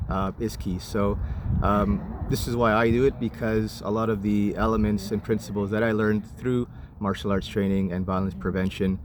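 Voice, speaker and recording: A man from Chile who moved to Canada as a child, answering interview questions as an instructor in a halting, deliberate way. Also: on the low side. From Chile, moved to Canada at eight. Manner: halting, measured, deliberate explaining. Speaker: martial arts and violence prevention instructor, guest. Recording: clearly noisy, urban traffic ambience.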